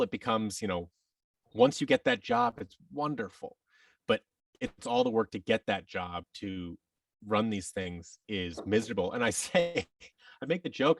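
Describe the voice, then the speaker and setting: A man's voice: lowish voice, a bit bright, no room sound, distant mic. A man telling personal stories as a podcast guest, candid and reflective, often interrupting himself.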